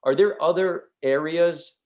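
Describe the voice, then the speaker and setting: A man hosting a podcast, asking questions in a halting, hesitant way. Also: a little high. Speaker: podcast host. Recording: muffled lo-fi audio.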